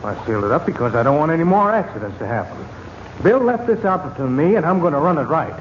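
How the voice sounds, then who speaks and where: Mean bossy ranch foreman, american, threatening, highish voice, faint hiss old radio western. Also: very animated, fast talker. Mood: hostile, ordering. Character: hostile, domineering ranch foreman villain. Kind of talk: 1940s radio western acting. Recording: muffled old radio recording, faint noise.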